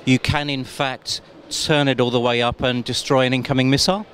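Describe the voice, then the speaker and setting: A man's voice: mid-range voice, level tone, brisk, a bit bright, slight background noise. A man interviewing, asking prompting questions. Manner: inquiring, prompting.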